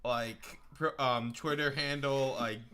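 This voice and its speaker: A man with a naturally loud voice hosting a casual, sweary group chat. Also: mid-range voice, fairly even intonation, slow pace, smooth. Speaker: podcast host.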